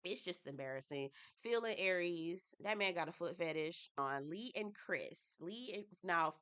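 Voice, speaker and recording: A woman with a stuffy head cold reviewing a reality TV show for her channel in a lively, casual, slang-filled way, talking straight to her viewers. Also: lowish voice, measured pace, smooth. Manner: animated, playful, Southern-inflected slang, addresses viewers as y'all.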